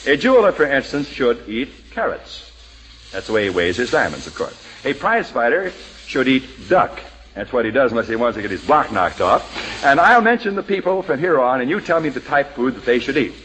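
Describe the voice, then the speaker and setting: A man's voice: mid-range voice, very animated, brisk, fairly bright tone, slight background noise, low-quality recording. A man hosting a 1940s radio quiz show, jovial and teasing with contestants, on an old broadcast recording.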